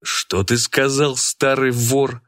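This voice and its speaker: A man's voice: lowish voice, normal speed, fairly bright tone, no echo, clean studio sound. A man voicing a haughty, hot-tempered businessman in an audiobook, contemptuous and declamatory.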